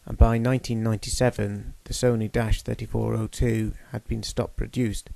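A man giving a measured, technical demonstration of a studio machine. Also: on the low side, flat delivery, vocal fry, dark tone, no room sound. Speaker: equipment demonstrator. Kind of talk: explanatory voice-over demonstration.

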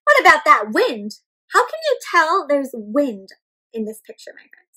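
Young woman doing a storytime for little kids, really high bright voice, super expressive. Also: moderate pace. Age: young adult. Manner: animated, expressive, with questions and gasps addressed to children. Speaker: children's read-aloud host. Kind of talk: interactive picture-book read-aloud for young children. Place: indoor room at home with plants and bookshelf.

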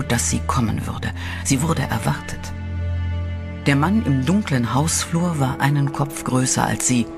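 A man reading scripted horror narration in a measured, dramatic way. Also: mid-range voice, creaky. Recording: music bed under voice.

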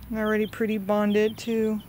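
A woman's voice: mid-range voice, monotone, normal speed, a little mellow, a little hiss or hum. A woman talking casually to her phone camera outdoors in a backyard, coaxing her pets in a sing-song voice. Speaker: vlogger. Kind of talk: casual chat. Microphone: handheld phone camera.

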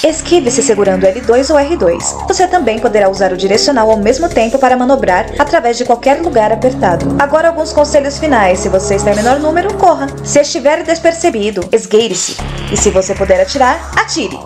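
A woman's voice: a little high, lively intonation, quick pace, music in the background, close to an everyday voice. A woman voicing a composed female field agent in a dubbed game, giving instructions in a matter-of-fact way. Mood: instructive. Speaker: field agent partner and training narrator. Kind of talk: scripted game dub, instructional briefing.

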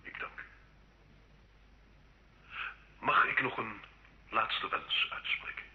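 A man's voice: lowish voice, unhurried, bright, forceful tone. An actor playing a tormented, murderous French nobleman confessing in an old radio drama, theatrical and impassioned. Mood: humble, resigned. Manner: impassioned, anguished, dramatic swings from whisper to cry. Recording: muffled old radio recording, faint noise.

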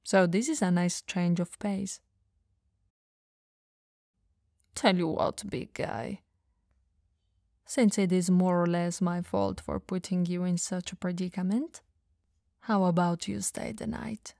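An elegant vampire lady, acted out by a woman, speaks in a mellow, breathy ASMR voice at a languid pace. She is dominant and teasing, with a caring softness underneath. The sound is dry and intimate, made for roleplay listening. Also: mid-range voice, no echo. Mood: soft, caring. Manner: soft, breathy, slow teasing delivery, often dropping to whispers.

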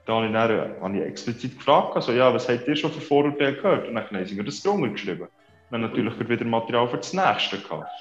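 A man's voice: medium pitch, expressive, fast. A man in his thirties with a Swiss German accent, chatting casually as an interview guest on a video call. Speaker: interview guest, social media creator. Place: small room indoors on a video call. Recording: echoey, dull video-call sound, music behind.

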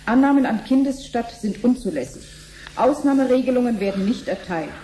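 An actress playing a subdued office secretary, formal and matter-of-fact, in a radio drama. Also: female voice, a little high, lively intonation, brisk, mellow, lightly acted. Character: dutiful, meek female office secretary reading out regulations. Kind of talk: 1970s German radio drama acting. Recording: roomy, slightly noisy old radio recording.

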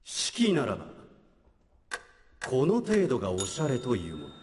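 An actor playing a haughty young prosecutor in a comic courtroom skit, with a formal, pompous, dramatic delivery. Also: male voice, mid-range voice, huge pitch swings, measured pace, background noise. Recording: reverberant concert hall, faint music.

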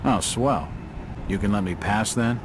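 An actor playing a wry, persistent hard-boiled detective in a video game, sardonic and probing. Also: male voice, fairly low pitch, unhurried, background noise, lightly acted.

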